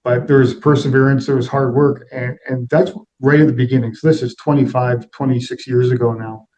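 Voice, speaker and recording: A man's voice: medium pitch, little pitch movement, brisk, darkish tone, poor audio. A middle-aged man answering interview questions in a reflective, plain-spoken, conversational way. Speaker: interview guest, aviation executive.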